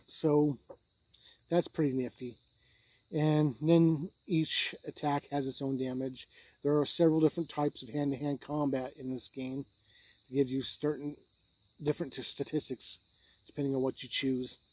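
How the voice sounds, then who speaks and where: A man's voice: mid-range voice, little pitch movement, moderate pace, darkish tone, breathy edge, rough recording. A man giving an informal, unscripted review in a plain-spoken, matter-of-fact way. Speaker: tabletop game reviewer.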